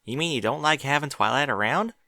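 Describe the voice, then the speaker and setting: A man's voice: mid-range voice, lively intonation, brisk, creaky, pushed and bright, no echo, voice pushed up. A man voicing a spunky young filly pony girl in a fan fiction reading, with an eager, indignant way of talking. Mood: wistful.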